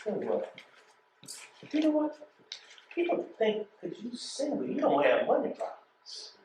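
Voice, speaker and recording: A man's voice: very high voice, huge pitch swings, unhurried, darkish tone, exaggerated voice. A man with an American accent acting in a stage play as a loyal, teasing postal carrier, with a folksy, cajoling way of talking. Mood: probing. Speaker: stage actor. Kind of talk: stage play dialogue, colloquial. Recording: echoey stage, distant mic, noisy.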